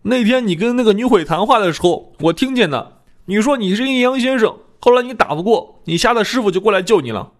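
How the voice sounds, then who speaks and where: A man's voice: sits high, expressive, quick pace, voice pushed up. A man voicing a disgruntled, cheeky fat apprentice in an audiobook, with an emphatic, complaining delivery.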